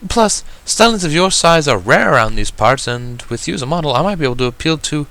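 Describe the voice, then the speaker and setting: Genteel female pony dressmaker, performed by a man, refined and raised in pitch, bright, drawn-out emphasis. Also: animated, no room sound. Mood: poised.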